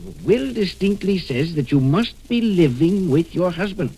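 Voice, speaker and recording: Husky, excitable lawyer. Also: male voice, high-pitched, moderate pace, low-quality recording. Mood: insistent. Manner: formal, insistent, argumentative. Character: insistent, callous, excitable male family lawyer. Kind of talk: 1940s radio acting. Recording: old 1940s radio recording.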